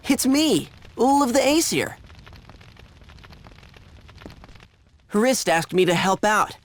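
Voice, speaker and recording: A woman's voice: on the high side, expressive, measured pace. A woman playing a brash, taunting warrior of the gods in a video game, talking in casual slang. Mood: cocky, familiar. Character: brash female Aesir warrior, cocky, scornful of humans. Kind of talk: English video game voice acting.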